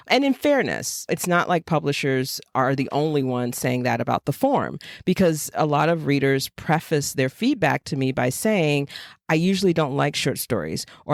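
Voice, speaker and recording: A woman with an American accent talking casually and emphatically as a podcast co-host, with animated delivery. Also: sits low, no echo, clean studio sound. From United States, Jacksonville, Florida.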